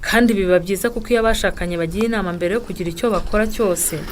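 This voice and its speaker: A woman's voice: medium pitch, racing, a little hiss or hum, lightly acted. An actress playing a good-humoured, principled village mother in a radio drama, explaining things patiently. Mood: calm, principled.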